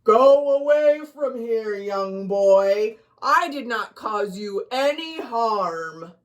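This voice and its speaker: A woman acts out a big one-eyed ghoul from a children's storybook, fearful yet gentle. The speech is slow, earnest and halting, with a high, swooping pitch. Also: expressive, highs cut off. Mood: fearful, pleading.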